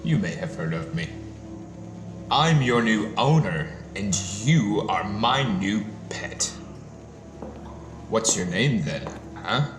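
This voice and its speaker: Condescending wealthy collector, male actor, audio drama villain: low voice, lively mocking swings, roomy sound. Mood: smug, taunting. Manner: slow, taunting, mocking, condescending. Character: smug rich male collector gloating over his captive. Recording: echoey room with music underneath.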